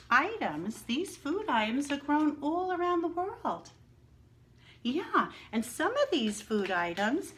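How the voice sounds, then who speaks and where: A woman teaching young children in a slow, sing-song way, asking questions and answering them herself. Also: on the high side, a bit bright. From New York, United States. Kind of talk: read-aloud lesson for young children.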